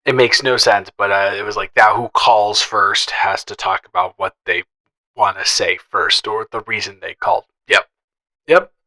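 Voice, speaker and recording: Guy playing a teasing best friend type, bright voice, kinda shy n stammery, flirty audio roleplay, chatty. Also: lowish voice, steady tone, rough recording. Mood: playful, teasing. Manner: playful, teasing, halting with stammers and chuckles. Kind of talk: improvised, conversational romantic audio roleplay.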